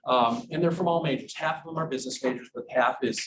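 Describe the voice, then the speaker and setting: Chatty professor heard from across a classroom. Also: male voice, medium pitch, fast. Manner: chatty, enthusiastic, with restarts. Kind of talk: classroom interview. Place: university classroom. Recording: distant classroom mic with room noise.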